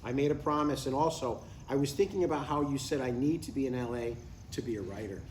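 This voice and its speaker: A man's voice: mid-range voice, quick pace, a little mellow, natural voice. Man acting a meddling older dad, echoey room. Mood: eager. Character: eager, stubborn, meddling retired father of an adult son. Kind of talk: live comedy script table read. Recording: echoey, distant live room with background noise.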